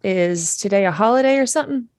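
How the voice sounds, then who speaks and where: A woman's voice: mid-range voice, lively intonation, fast, darkish tone, no echo, natural voice. A woman playing a blunt, sardonic, unimpressed girlfriend in a comedy table read. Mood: dry, unimpressed. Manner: blunt, dry, dismissive.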